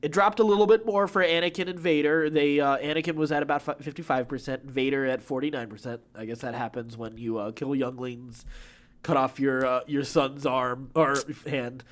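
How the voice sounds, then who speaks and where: A man's voice: medium pitch, fairly even intonation, normal speed. A man co-hosting a podcast, casually going over pop culture news and opinions. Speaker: podcast co-host.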